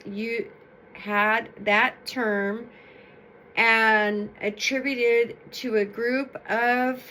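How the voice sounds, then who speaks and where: High-voiced woman storyteller, noisy recording. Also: sharp tone. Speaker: storyteller reading folktales aloud. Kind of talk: expressive read-aloud storytelling with informal explanatory asides.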